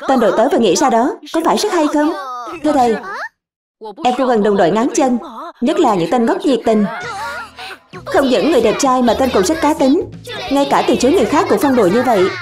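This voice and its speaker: High-pitched, excitable cartoon youngster played by a woman. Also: fairly quick, long pauses, over music. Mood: eager, indignant. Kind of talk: animated cartoon dub, several characters under one speaker number.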